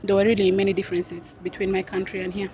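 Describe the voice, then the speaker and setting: Young woman, Arabic-English, measured and reflective interviewee. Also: mid-range voice, little pitch movement, dark tone, low-quality recording. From South Sudan. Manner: thoughtful, unhurried, with pauses and fillers. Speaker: street interviewee. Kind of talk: casual interview answers.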